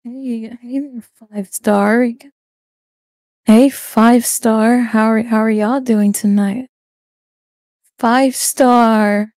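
Man putting on a slow, coy female voice through a changer. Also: very high-pitched, fairly even intonation, a little mellow, dry recording, clean studio sound, exaggerated voice. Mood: coy, flirty. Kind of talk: casual livestream banter.

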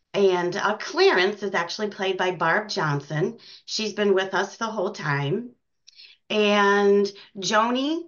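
Low-voiced American woman, dull recording. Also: fairly bright tone. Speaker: guest, community theater co-director and actress. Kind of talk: casual interview chat. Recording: dull, lo-fi sound.

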